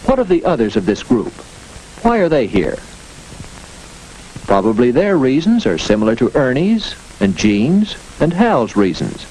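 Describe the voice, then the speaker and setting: A man's voice: medium pitch, wildly swooping pitch, measured pace, a little mellow. A man narrating a 1940s educational film in an instructive, folksy storytelling way, putting rhetorical questions and asides to the audience. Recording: noisy vintage 1940s film soundtrack.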